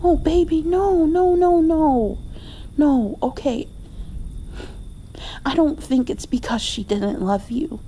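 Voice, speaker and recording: Woman playing a slow, gentle, comforting mom. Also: high-pitched, a little hiss or hum. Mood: tender, reassuring. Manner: tender, soothing, sometimes dropping toward a whisper. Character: loving, gentle mother comforting her heartbroken grown child. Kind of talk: ASMR comfort roleplay, slow and hushed with pauses.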